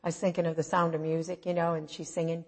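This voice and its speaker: A woman with a low voice preaching an informal, earnest sermon, swinging from loud to soft. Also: level tone, fast talker.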